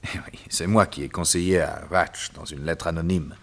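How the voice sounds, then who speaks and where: A smooth, menacing doctor bent on revenge, speaking with a very deep, low voice. He taunts his captive in a calm, cruel way, as in an old radio play. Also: moderate pace, full character voice. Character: cold vengeful doctor villain, mocking. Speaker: radio drama actor. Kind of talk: 1960s French radio drama acting.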